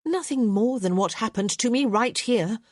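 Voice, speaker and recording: A woman voicing a haughty young noblewoman, husky. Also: medium pitch, expressive, normal speed, darkish tone, no room sound, studio quality, voice pushed up. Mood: furious. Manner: haughty, clipped and cutting. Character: proud, sharp-tongued young noblewoman. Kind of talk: audiobook character voice.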